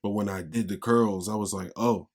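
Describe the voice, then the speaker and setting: Young male podcast host from Pittsburgh, energetic and slangy, low voice. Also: moderate pace. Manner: upbeat, slangy, hype greetings. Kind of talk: casual interview chat.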